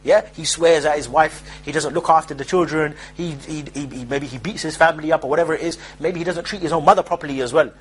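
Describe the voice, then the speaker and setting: Husky guy preaching real fast, high voice, jabby and mocking. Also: poor audio. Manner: rapid, emphatic, mocking asides. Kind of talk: informal street-style lecture.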